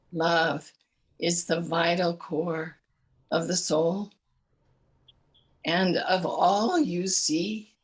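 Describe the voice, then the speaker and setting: A woman with a raspy voice reading a poem aloud slowly and softly over a video call. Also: fairly low pitch, poor audio. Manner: soft, slow, deliberate pauses. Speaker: poetry reader.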